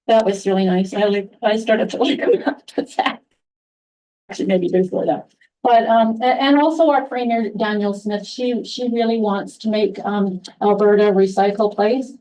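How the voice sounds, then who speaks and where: A soft-spoken woman addressing a town council during public comment, halting with many restarts and fillers. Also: medium pitch, fairly even intonation, distant mic, poor audio.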